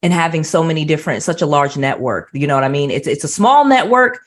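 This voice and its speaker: A woman's voice: low-pitched, animated, quick pace. A woman hosting a casual interview, talking conversationally with frequent restarts and check-ins like "you know what I mean". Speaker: host.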